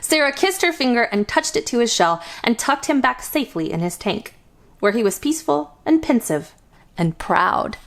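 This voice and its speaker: A woman with a fairly high voice reads a picture book aloud for young children. Her delivery is highly animated, with big melodic swings. She phrases deliberately and expressively, as a storytime host does. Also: gravelly.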